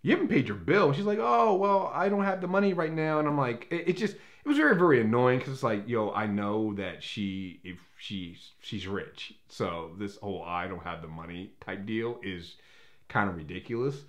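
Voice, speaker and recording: A man's voice: fairly low pitch, expressive, moderate pace. A man talking casually as an opinionated movie reviewer, blunt and rambling, often repeating words as he searches for phrasing.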